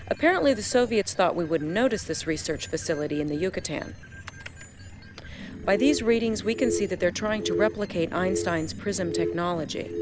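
An actress playing a composed young military intelligence officer with an American accent, giving brisk briefings in a game cutscene. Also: female voice, fairly low pitch, natural voice. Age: young adult.

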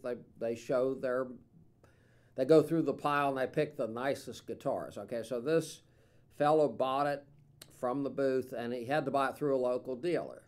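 A man's voice: medium pitch, clear, resonant tone. A man, seated in a small studio, talking casually about a guitar he is selling, with lots of fillers. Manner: relaxed, rambling with many fillers. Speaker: instrument dealer. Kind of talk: casual product demo.

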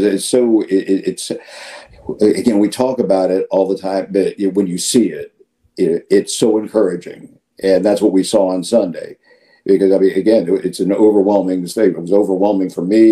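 Older priest guy on a video call, really dark mellow low voice, stops and restarts words while explaining. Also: poor audio. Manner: halting, with repeated words and emphatic asides. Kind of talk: conversational interview answer. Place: indoor room, video call.

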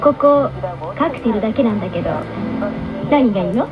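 A woman's voice: on the high side, expressive, fairly quick, lightly acted. Breezy barmaid played by a woman, casual teasing tone, anime radio drama, dull AM recording with music underneath. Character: breezy, teasing female cocktail bar worker.

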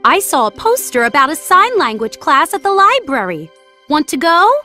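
A woman playing kindly, helpful grown-up women in a children's animated story, speaking clearly and gently. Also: high voice, normal speed, soft background music, exaggerated voice. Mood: caring. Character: friendly, helpful adult women: interpreter and mom.

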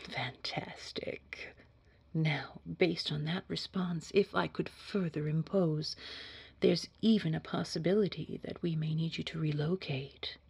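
A woman playing a poised, sinister boss in an audio roleplay, speaking formally in a hushed, husky, measured and quietly controlling way. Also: deep voice, steady tone, no room sound, heavily acted. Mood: coolly persuasive. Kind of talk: scripted audio roleplay acting.

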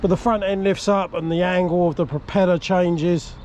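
Excitable high-voiced man outdoors. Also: lo-fi sound. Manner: excitable, laughing, thinking aloud. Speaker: vlogger. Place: outdoors on a river. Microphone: action camera. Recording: loud outdoor noise. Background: rushing water and outdoor noise.

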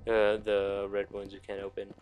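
A man's voice: on the low side, monotone, moderate pace, a little mellow, faint music behind. A young man giving casual, crude and exclamatory commentary while playing a video game. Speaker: gaming video host. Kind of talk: casual let's play commentary.

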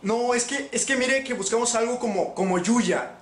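High-voiced young man in a noisy, echoey room. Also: normal speed. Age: young adult. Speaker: prank caller. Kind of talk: polite, hesitant phone pitch. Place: living room sofa. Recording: echoey room, clearly noisy replayed video.

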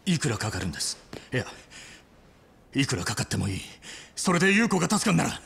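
Intense, wildly expressive young yakuza. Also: male voice, mid-range voice, a little fast, full character voice. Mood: anxious. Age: young adult. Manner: intense, rough-spoken, pleading. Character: desperate, hot-headed young yakuza man pleading. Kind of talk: dramatic video game cutscene acting.

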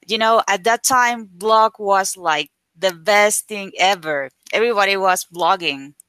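A woman whose first language is Spanish, a guest on a live video call chatting casually about her work, with lots of "like" fillers and lively retelling. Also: mid-range voice, unhurried, no echo. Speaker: guest, affiliate marketer. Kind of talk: casual interview chat.

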